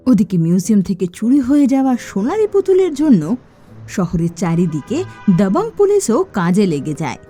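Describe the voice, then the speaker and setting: A woman's voice: mid-range voice, very animated, brisk, dark tone, husky, soft background music. A woman giving scripted narration for a cartoon story.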